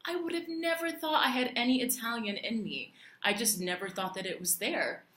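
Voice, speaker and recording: A woman from Puerto Rico vlogging in a chatty, animated way, with lots of fillers and restarts. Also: on the high side, normal speed. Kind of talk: casual talk to camera. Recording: echoey room.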